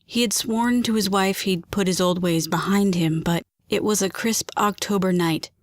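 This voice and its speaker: A woman's voice: mid-range voice, moderate pace, no room sound, clean studio sound. A woman reading scripted fiction aloud as a story narrator.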